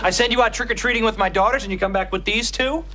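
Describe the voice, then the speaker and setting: A man's voice: high-pitched, quick pace, a crowd in the background, background noise, close to an everyday voice. A man playing a wry, protective suburban father in a sitcom. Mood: stern, sarcastic. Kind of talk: sitcom acting before an audience. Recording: loud music and audience behind dialogue.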